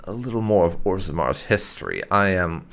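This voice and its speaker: Guy doing let's play roleplay commentary, lowish gravelly voice, halting and thoughtful, trails off. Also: expressive, fairly bright tone. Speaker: gaming channel host.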